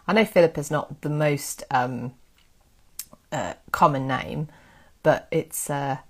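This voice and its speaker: Lady chatting on her history livestream, bit low-pitched, excitable, thanks everyone. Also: slow pace, breathy edge. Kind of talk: casual live-stream chat.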